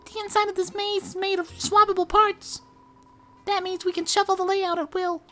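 A man voicing a chirpy, puzzle-loving monster worker in a squeaky falsetto. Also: level tone, moderate pace, sharp tone, soft background music. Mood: cheery.

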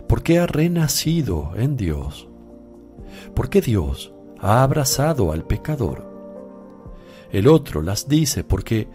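An actor playing a grave, compassionate and firm holy teacher in a Spanish radio drama, speaking solemnly and deliberately. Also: male voice, medium pitch, mellow.

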